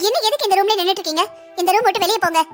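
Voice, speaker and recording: A woman voicing a resentful young bride and protective mother in a cartoon drama, sharp and confrontational. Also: very high voice, racing, faint music behind. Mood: resentful, angry. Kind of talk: Tamil cartoon drama dialogue.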